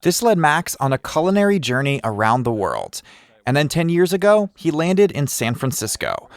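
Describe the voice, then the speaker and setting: Lively male podcast narrator. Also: on the high side, normal speed, no room sound. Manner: lively, conversational storytelling. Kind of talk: scripted narration.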